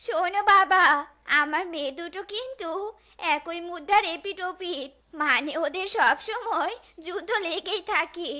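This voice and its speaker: A woman's voice: extremely high pitch, measured pace, bright, forceful tone, heavily acted. A woman voicing a cautious middle-aged mother fox in a cartoon, fretful and full of questions. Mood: doubtful, questioning. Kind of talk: Bangla cartoon acting.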